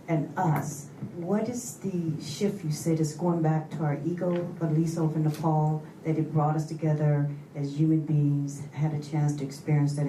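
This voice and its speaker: A woman's voice: low-pitched, dark tone. A woman moderating a live question session, probing and rephrasing her questions as she goes. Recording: echoey room, distant mic, faint noise.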